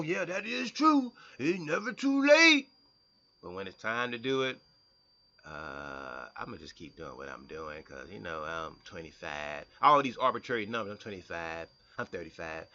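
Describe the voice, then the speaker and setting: A man's voice: on the low side, muffled recording. A man reading science text aloud and breaking off to explain it in his own casual words, often repeating and restarting. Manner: animated, breaks from reading to comment and mimic people. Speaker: health vlogger.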